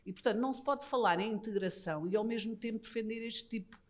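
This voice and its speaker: A woman's voice: fairly high pitch, fast. A Portuguese woman with a European Portuguese accent, an immigration lawyer speaking on a conference panel. She talks earnestly and critically, often restarting her phrases. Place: embassy conference room.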